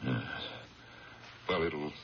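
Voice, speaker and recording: A deep-voiced, troubled American attorney. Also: male voice, very slow, full character voice. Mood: tense, cornered. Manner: hesitant, strained. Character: troubled, guarded male district attorney under pressure. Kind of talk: 1970s radio drama acting. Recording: dull, slightly noisy old radio recording.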